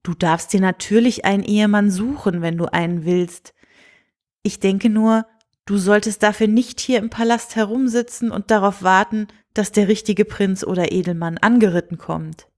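Woman reading a kindly, calm fairy-tale king. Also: mid-range voice, close to an everyday voice. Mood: reassuring. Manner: calm, friendly, unhurried. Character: kindly, reassuring old-fashioned fairy-tale king and father.

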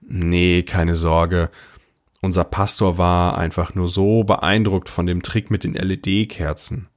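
Audio drama casting: man as deep-voiced older brother, first-person narrator with dry, understated wit. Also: little pitch movement, slow pace. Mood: unflappable. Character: wry, unflappable older brother narrating his story.

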